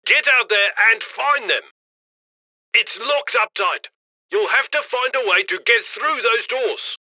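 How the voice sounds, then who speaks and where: A man's voice: very high-pitched, expressive, slow pace, bright, forceful tone, full character voice. An actor voicing a cocky, upbeat criminal heist handler in a video game, slick and conversational as he directs and eggs on the crew. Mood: firm, instructing. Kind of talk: video game mission voice-over.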